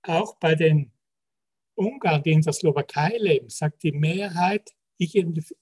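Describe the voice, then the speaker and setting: A man, a sociologist calmly explaining survey results in a panel talk. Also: fairly high pitch, husky. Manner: measured, explanatory, citing percentages. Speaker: sociologist presenting survey research.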